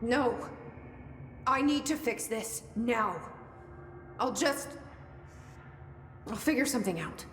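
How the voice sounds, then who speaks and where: A woman's voice: on the high side, slow pace, pauses often, a bit bright, slight background noise. A woman playing a determined, self-blaming young agency director in a video game cutscene, speaking in a resolute, clipped way. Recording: echoey hall, music behind.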